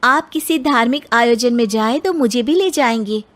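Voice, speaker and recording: A woman's voice: a little high, normal speed, lightly acted. Assertive young bride voiced by a woman. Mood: firm, earnest. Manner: assertive, earnest. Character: outspoken, educated young bride standing her ground.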